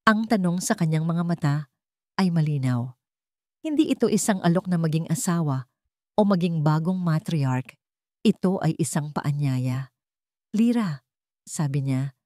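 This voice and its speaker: Woman storyteller, Filipino accent, low pitch, soft and emotional narration, dead-dry sound. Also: no echo.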